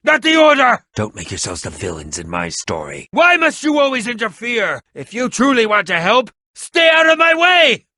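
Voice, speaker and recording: An actor playing a haughty, theatrical, hot-tempered schemer in a video game, with sneering, melodramatic delivery and grandiose phrasing. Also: male voice, very high voice, wildly swooping pitch, normal speed, hardly any pauses, pushed and bright, no room sound, exaggerated voice. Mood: furious outrage. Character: pompous, theatrical, hot-tempered scheming potion-brewing man, bitter rival. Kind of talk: animated video game voice acting.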